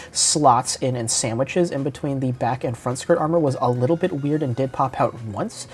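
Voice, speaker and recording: A man's voice: medium pitch, darkish tone, husky. Congested man reviewing a model kit. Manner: chatty and detailed, fond of "like" and "of course". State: congested from a cold. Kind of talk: unboxing and product review.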